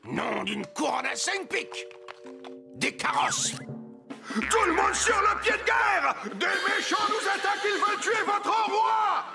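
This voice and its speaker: A man's voice: very high voice, animated, slow, creaky, music in the background, full character voice. An actor playing a paranoid, hot-tempered king in a French children's cartoon, with a theatrical, blustering delivery. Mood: furious.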